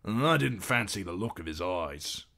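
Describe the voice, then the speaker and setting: A man's voice: deep voice, lively intonation, moderate pace, gravelly, pushed and bright, full character voice. A man voicing a harried, defensive innkeeper husband in a storybook, aggrieved and folksy in his speech. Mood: defensive, accusing.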